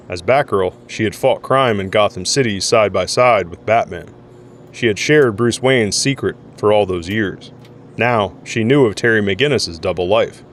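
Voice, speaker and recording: Low-pitched male audio drama narrator. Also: slight background noise.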